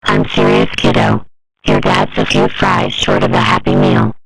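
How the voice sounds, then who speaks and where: A performer voicing a frank, supportive middle-aged mother in a comic strip cartoon dub, gravelly and matter-of-fact. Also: rumbling low, very animated, a little slow, a bit bright, faint music behind, heavily acted, poor audio. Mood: reassuring, frank.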